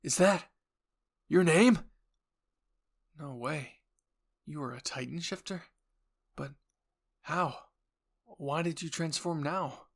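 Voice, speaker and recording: A man's voice: fairly high pitch, takes it slowly, pauses often. A man acting an alarmed young male anime hero in a fan roleplay, urgent and exclaiming. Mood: shocked. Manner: urgent, yelling orders.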